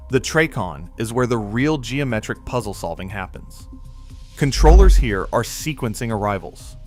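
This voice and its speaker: Man, scripted explainer narration, mid-pitched voice, steady and measured, soft music underneath. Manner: measured, even explanatory delivery. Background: faint background music.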